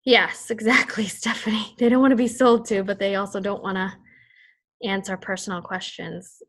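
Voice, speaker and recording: Chatty bright woman with a Boston accent. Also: medium pitch, level tone, moderate pace. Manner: chatty and rambling, laughs often. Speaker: fitness team leader. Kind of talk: casual team call.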